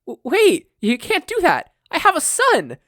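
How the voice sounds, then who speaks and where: A man's voice: very high voice, wildly swooping pitch, unhurried, fairly bright tone, no echo, clean studio sound, voice pushed up, heavily acted. A man voicing a timid, worried mother who is polite but candid, with a hesitant, nervous delivery. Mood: panicked.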